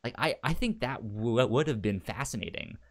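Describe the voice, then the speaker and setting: Male podcast co-host, average pitch, casual, halting delivery with frequent restarts. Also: expressive. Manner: stammers and repeats words while thinking aloud. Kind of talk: casual book-club chat.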